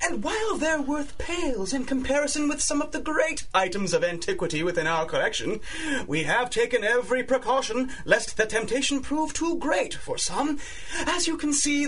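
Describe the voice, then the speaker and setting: A man's voice: very high-pitched, lively intonation, normal speed, a bit bright, slightly rough, exaggerated voice. A man playing a pompous museum tour guide in 1930s-style radio drama, speaking in formal, flowery, showy phrases. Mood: self-satisfied, grandiose. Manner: formal, flowery, proudly showing off exhibits.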